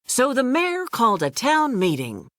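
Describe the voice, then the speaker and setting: A woman reads a children's storybook aloud with a hugely animated voice. She takes her time, narrating deliberately and expressively in a close, dry recording. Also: on the high side, dry recording. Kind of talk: scripted children's read-along narration.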